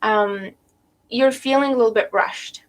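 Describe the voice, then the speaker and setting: A woman's voice: a little high, a little slow. A woman giving a live tarot reading to viewers, losing her voice with a cold, thinking aloud and often checking in with "right?". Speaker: tarot reader.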